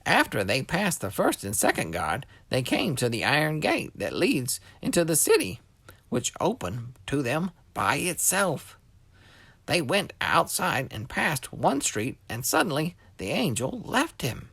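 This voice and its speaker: A man's voice: on the high side, huge pitch swings, unhurried, creaky, fairly bright tone, husky, dry recording. A man reading a scripture story expressively for children as a scripted narration.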